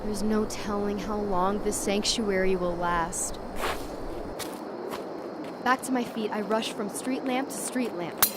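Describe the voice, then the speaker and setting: A woman's voice: medium pitch, fairly even intonation, slow pace, pauses often, close to an everyday voice. Panicky young woman narrating over noisy ambience acted. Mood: panicked. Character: Alex, panicky young woman tripping on acid, confiding. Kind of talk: first-person present-tense horror narration, dramatic.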